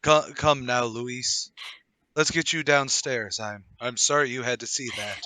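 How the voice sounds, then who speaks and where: A man playing a hearty, brash English-gentleman type with theatrical banter in a radio play table read. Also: mid-range voice, fairly even intonation, measured pace. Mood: grave, urgent. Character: cheery, brash gentleman brother-in-law with hidden cunning.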